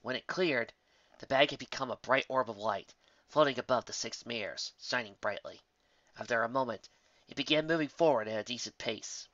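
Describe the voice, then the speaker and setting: A man's voice: medium pitch, moderate pace, fairly bright tone, no echo, dull audio. A man reading a scripted story aloud as its narrator. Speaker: narrator reading fan fiction.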